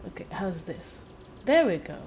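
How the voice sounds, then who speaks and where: A woman's voice: on the low side, big pitch swings, normal speed, a little mellow, slight background noise. A woman game streamer chatting casually to her viewers during a livestream. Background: music.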